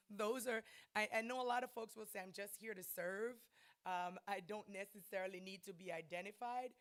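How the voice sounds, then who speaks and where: A woman's voice: medium pitch, fairly bright tone. A woman with an American accent giving an emphatic, conversational leadership talk to church ministers, often checking in with "right?". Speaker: guest speaker at a ministers' training.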